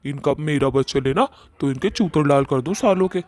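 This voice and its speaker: A man's voice: fairly high pitch, normal speed, no room sound, lightly acted. A man voicing a crude, swaggering ghost in a comic horror cartoon, blustering and threatening. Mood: boastful. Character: swaggering male ghost, crude, bullying, menacing. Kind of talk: Hindi cartoon horror-comedy acting.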